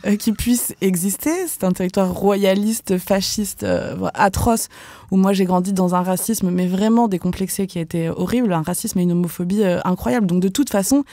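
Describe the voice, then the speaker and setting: A young woman from France, a professional dancer, speaking candidly as a podcast guest, casual and animated, with lots of fillers and slang. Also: medium pitch, dry recording. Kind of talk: podcast interview.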